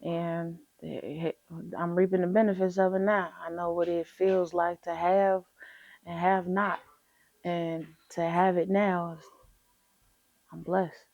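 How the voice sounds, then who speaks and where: A confident woman talks about her music in a relaxed way. Her voice sits a little low. The recording is dry and slightly dull. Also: slow pace, no room sound. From Chicago, USA. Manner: relaxed and confident, slips into animated quoting of herself. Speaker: rapper, interview guest. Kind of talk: casual interview.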